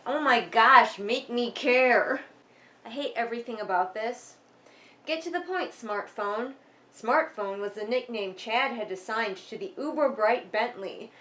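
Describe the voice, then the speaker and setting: A woman's voice: on the high side, fairly bright tone. A woman giving casual, sarcastic book commentary in an emphatic, exasperated manner, sometimes reading passages aloud. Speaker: vlogger doing a critical book read-along.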